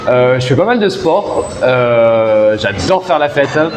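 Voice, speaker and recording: A man's voice: mid-range voice, lively intonation, takes it slowly, reverberant, big-room sound, music in the background. A man in his twenties from southern France, chatting enthusiastically in a street interview with many hesitations. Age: 20s.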